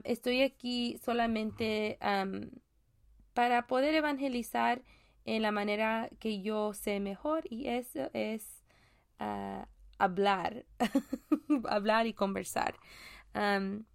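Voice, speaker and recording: A woman from Mexico hosting a casual solo faith podcast, talking in a halting way with many fillers and restarts. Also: fairly high pitch, creaky. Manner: chatty, laughs at own jokes. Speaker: podcast host.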